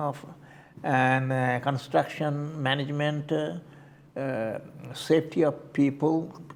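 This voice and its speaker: An older man with an Indian accent talks through his answers slowly, pausing often on fillers and restarting his sentences. His voice sits a little high for a man. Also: gravelly, darkish tone. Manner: hesitant, many fillers and restarts. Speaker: community board member. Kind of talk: interview answers.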